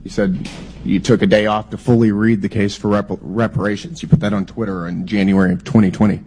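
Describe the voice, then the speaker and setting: A man with a hoarse voice from a cold, questioning a witness at a hearing in a pointed way, often restarting his words. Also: on the low side, flat delivery, brisk, darkish tone, a little hiss or hum, poor audio.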